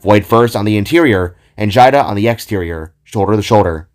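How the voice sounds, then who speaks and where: A man giving expressive scripted narration as the storyteller of an audio drama. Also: lowish voice, brisk. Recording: music behind the voice.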